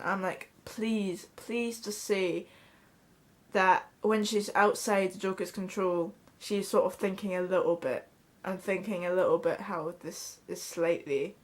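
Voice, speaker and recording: A young woman with a Scottish accent chatting casually to camera in a small bedroom, blunt and swearing freely. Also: medium pitch. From Scotland. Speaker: vlogger. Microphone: camera in front at close range.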